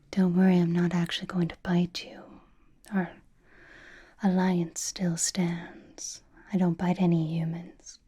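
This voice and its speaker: ASMR roleplay vampire, woman performer: slow, very breathy whisper, teasing, possessive, intimate and reassuring. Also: lowish voice. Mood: playful, reassuring. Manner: intimate, slow whispering with sighs and soft hums.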